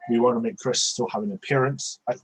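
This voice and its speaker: A man's voice: mid-range voice, steady tone, quick pace, breathy edge, rough recording. A man, hosting a podcast over a video call from a small bedroom on a headset, rambling with many restarts. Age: 20s. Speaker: podcast host. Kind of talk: casual video-call chat.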